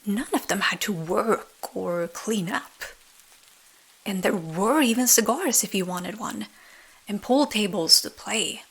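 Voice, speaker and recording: Soft, whispery woman telling a slow bedtime story. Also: mid-range voice, gravelly, husky, rough recording. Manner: slow, soothing. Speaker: ASMR storyteller. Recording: rain sounds behind the voice. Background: rain.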